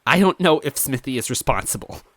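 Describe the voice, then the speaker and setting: A man voicing a grieving young toad girl, halting and emotional, in a story reading. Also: medium pitch, expressive, a bit bright, no room sound, pitched above the performer's own voice. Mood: angry, determined.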